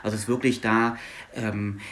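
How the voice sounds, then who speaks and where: A man's voice: lowish voice, little pitch movement, moderate pace, a bit bright, a little hiss or hum. A man from Luxembourg whose first language is Luxembourgish, a linguist guest explaining fluently in an academic interview.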